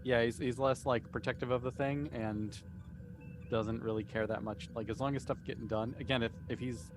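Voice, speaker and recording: A man's voice: medium pitch, steady tone, normal speed, over music. A man answering questions in a casual group chat, laid-back and thinking aloud. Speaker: cast member answering questions.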